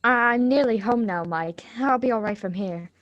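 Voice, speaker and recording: A woman's voice: fairly high pitch, normal speed, no room sound, highs cut off, natural voice. A woman playing a polite, evasive, bookish schoolgirl in a live radio drama reading, with a hesitant, stammering delivery.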